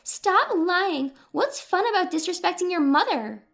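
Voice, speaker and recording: A spiteful middle-aged mother, played by a woman narrator, high-voiced and self-righteous. She speaks with indignant accusation, in the style of an audio story with faint music. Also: lively intonation, measured pace, gravelly, fairly bright tone, exaggerated voice. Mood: furious.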